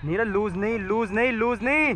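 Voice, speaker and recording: High-voiced young man shouting on a cricket field. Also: normal speed, noisy background. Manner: loud encouraging calls to teammates. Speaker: cricket player filming from the field. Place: outdoor cricket ground. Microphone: body-worn action camera.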